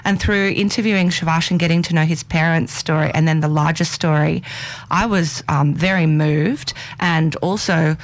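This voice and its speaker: A young woman, a documentary filmmaker, explaining her work in measured, articulate speech. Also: low-pitched, steady tone.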